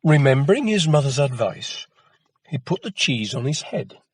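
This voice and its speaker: A man narrating a children's folktale as an expressive storyteller. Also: medium pitch, normal speed, husky, no room sound.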